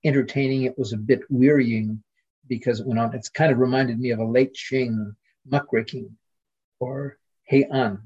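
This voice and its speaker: A man speaking as a scholarly podcast guest in casual discussion, halting as he searches for names and words. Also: medium pitch, fairly even intonation, darkish tone, husky, highs cut off.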